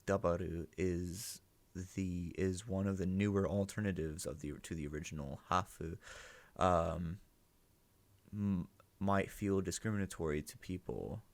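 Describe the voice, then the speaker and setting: A man with a lowish voice hosts a reaction video in a casual, analytical way. He muses aloud, checking his points as he goes, in a dry, close recording. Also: steady tone, a little slow, vocal fry, dry recording. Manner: musing, thinking aloud, seeking agreement with "right?".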